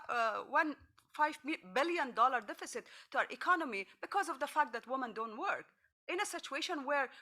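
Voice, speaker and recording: A woman's voice: high voice, sharp tone. A woman giving insistent, accusatory political commentary as a panelist. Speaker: panelist, advocate.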